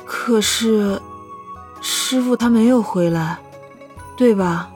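A woman's voice: medium pitch, slow, darkish tone, soft background music, lightly acted. A woman acting a naive, curious young female doctor who dresses as a man, in a Chinese costume audio drama. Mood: subdued, thoughtful. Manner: wide-eyed, hesitant when startled.

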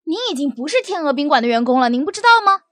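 An actress as an officious young hotel employee in a radio drama. She is a woman with a markedly high voice. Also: lively intonation, racing, fairly bright tone. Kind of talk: Mandarin audio drama acting.

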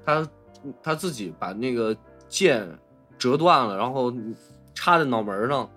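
Male co-host, mid-range voice, animated pitch movement, halting casual film chat. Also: soft background music. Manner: halting, searching for words. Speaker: podcast co-host.

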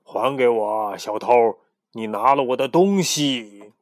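A stern ghost pharaoh, as a man plays him, slow and booming as he demands his treasure back. He keeps a commanding, threatening tone throughout. The sound is close and dry, like a storybook reading. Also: lively intonation, a bit bright, no room sound. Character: angry ghostly Egyptian pharaoh, male, stern.

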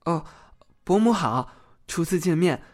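A man's voice: a little high, lively intonation, a little slow. A man playing a bashful, easily flustered but polite young policeman in an audio drama. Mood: polite, friendly. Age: young adult.

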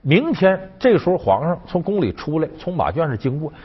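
A wily ancient court official, played by a male storyteller, coaxing and griping in colloquial style. The voice is husky and swings widely in pitch, on a slightly dull recording. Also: sing-song, brisk. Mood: scheming. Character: wily male Han court official, bluffing, aggrieved schemer.

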